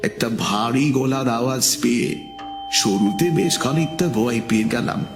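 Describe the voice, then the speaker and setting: A man telling a scripted ghost story in the first person, in a suspenseful, dramatic storytelling manner. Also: mid-range voice.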